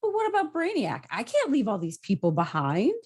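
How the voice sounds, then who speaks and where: A woman's voice: fairly high pitch, wildly swooping pitch, fairly quick, a little mellow, slightly rough, full character voice. A woman playing a brash, stubborn superhero in a script table read, with a casual, emphatic delivery. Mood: alarmed. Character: brash, stubborn, heroic male alien superhero.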